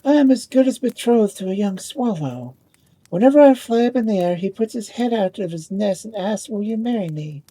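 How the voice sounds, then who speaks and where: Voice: mid-range voice, expressive, normal speed, dark tone, voice pushed up, natural voice, poor audio. A storyteller voicing a vain, prim young lady ball in a fairy tale, with an airy, self-regarding manner. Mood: haughty, coy.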